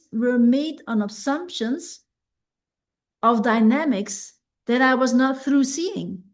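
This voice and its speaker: Slow, reflective woman coach. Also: a little high, darkish tone. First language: Dutch. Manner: unhurried, reflective, often asks "right?". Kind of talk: teaching a self-help masterclass.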